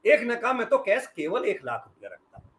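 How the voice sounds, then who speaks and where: A man's voice: very high voice, big pitch swings, a little fast, fairly bright tone. A middle-aged man gives sarcastic, emphatic political commentary as a journalist on a debate panel. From western Uttar Pradesh, India.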